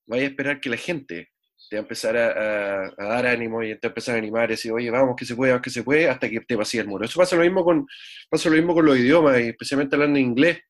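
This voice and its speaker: A man's voice: medium pitch, fast. A man from Chile with a Chilean accent, talking casually as an interview guest, rambling and repeating words. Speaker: guest musician.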